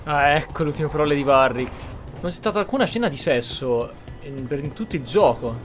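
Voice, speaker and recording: Highish-voiced Italian man commenting casually. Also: lively intonation, fast talker, slight background noise. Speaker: gameplay streamer. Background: faint game music.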